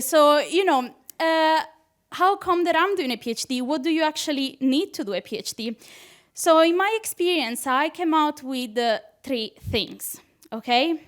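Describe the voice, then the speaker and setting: A young woman with an Italian accent doing a nervous, chatty stand-up comedy set on a club stage, laughing at her own jokes. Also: high voice. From Italy. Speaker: PhD student doing stand-up.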